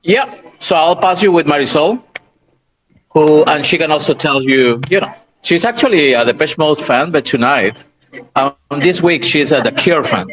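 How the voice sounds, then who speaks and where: A man reporting casually from a busy restaurant over a video call, talking haltingly with many restarts. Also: fairly high pitch, a bit bright. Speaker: on-site reporter. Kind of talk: casual chat. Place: crowded restaurant. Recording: muffled call line in busy room. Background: crowd chatter.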